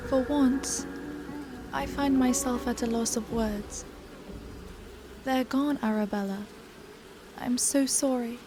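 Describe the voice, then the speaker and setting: A woman playing a gentle, earnest elf bard adventurer in a video game, soft-hearted and measured. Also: high-pitched, fairly even intonation, background noise. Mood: sorrowful.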